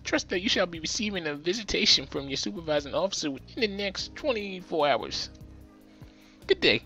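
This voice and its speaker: A man's voice: high-pitched, very animated, a little fast, pushed and bright, faint music behind, pitched above the performer's own voice, full character voice. A man voicing a tiny, furious female officer who speaks stiffly and formally with a scornful bark.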